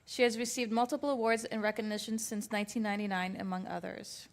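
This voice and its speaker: A woman serving as emcee, reading a guest's introduction in a measured, formal way. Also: medium pitch, steady tone, heavy background noise. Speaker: event emcee.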